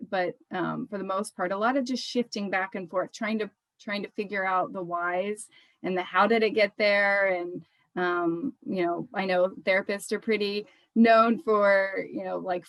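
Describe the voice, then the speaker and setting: A woman therapist chatting in a thoughtful, hesitant way, with many pauses and ums. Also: mid-range voice, fairly bright tone, dry recording. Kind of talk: casual conversation.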